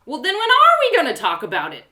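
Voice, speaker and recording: A woman's voice: sits high, huge pitch swings, fast, sharp tone, voice pushed up, exaggerated voice. A woman playing an eager, pushy young female adventurer in a fantasy audio drama, talking in an insistent, colloquial and sarcastic way. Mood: exasperated. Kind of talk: first-person fantasy audio drama reading.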